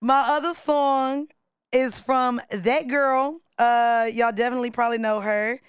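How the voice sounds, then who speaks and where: A woman with a raspy voice co-hosting a podcast, chatting casually about new songs in a playful, hype, slangy way with frequent laughs. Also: fairly high pitch, fairly even intonation, a little slow, dry recording, clean studio sound. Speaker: podcast co-host.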